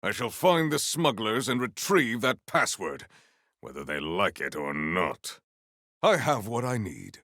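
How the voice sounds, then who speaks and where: An actor playing a proud, formal sci-fi warrior in a video game, speaking in a grandiose, deliberate and earnest way. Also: male voice, fairly low pitch, big pitch swings, pushed and bright, dry recording, clean studio sound, heavily acted. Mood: stern. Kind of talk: video game character voice lines.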